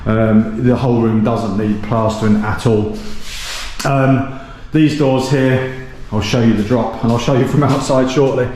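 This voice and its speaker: A man's voice: medium pitch, fairly even intonation, a little slow, a little mellow, slight background noise. A man hosting a renovation channel, giving a casual walkthrough tour of a gutted small cottage with plenty of ums and self-corrections. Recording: echoey bare rooms.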